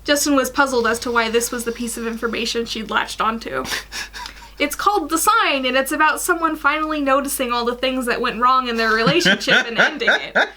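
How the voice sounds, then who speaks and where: A young woman in her twenties reading fan fiction aloud in an animated way, stumbling over the odd sentence. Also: sits high, a little fast, slight background noise. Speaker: guest story reader. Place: small attic bedroom.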